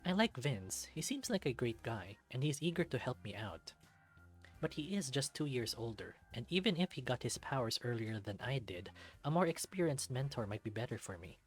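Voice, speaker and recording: A man's voice: mid-range voice, big pitch swings, normal speed, breathy edge. A man reading a visual novel aloud as a let's-play, narrating expressively. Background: game music.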